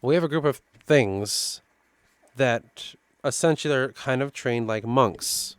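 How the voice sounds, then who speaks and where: Midwestern man, middle voice, muses over his own lore notes with halting restarts; dry, close recording. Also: medium pitch, smooth, no echo. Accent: Midwestern American. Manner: thinking aloud, halting, restarts, slows down for lore passages. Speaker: worldbuilding writer.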